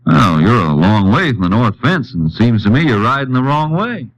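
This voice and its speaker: A man's voice: mid-range voice, big pitch swings, normal speed, dull audio. An American actor playing a wily, dogged Texas lawman on horseback in an old-time radio drama, with folksy, laconic talk. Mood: casual, probing. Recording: noisy, clicking old-time radio recording.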